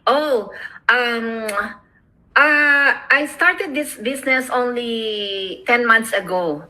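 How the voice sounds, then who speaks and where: A woman's voice: fairly high pitch, slow pace, pushed and bright, rough recording. A middle-aged woman with a Filipino accent, chatting casually on a video call and rambling with many fillers about her online business. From Philippines. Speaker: online business owner, co-host.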